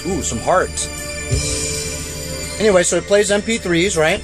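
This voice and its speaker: A man's voice: sits high, lively intonation, normal speed, over music. A man giving a casual, off-the-cuff gadget review in a laid-back, colloquial way, as a vlogger talking inside a van.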